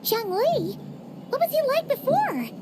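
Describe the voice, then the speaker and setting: A squeaky, chirpy fairy sidekick. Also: female voice, big pitch swings, very noisy, full character voice. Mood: chatty, teasing. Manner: talks about herself in third person, quick to tease. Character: tiny floating female fairy companion, chatty and blunt.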